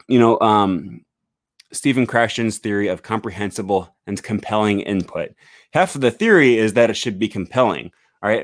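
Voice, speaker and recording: A man's voice: mid-range voice, no room sound, studio quality. A young man giving a loose, rambling live-stream talk on language learning, full of "um" and "uh", into a desk microphone in a small home room. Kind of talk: casual live-stream talk with screen sharing. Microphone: large studio microphone on a desk stand.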